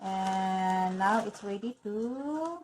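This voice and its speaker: A woman's voice: medium pitch, lively intonation, very slow, background noise. A woman from the Philippines with a Filipino accent, chatting her way through a cooking demonstration in a home kitchen and saying okay between steps. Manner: chatty, walks through each step, says okay often. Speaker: cooking vlogger.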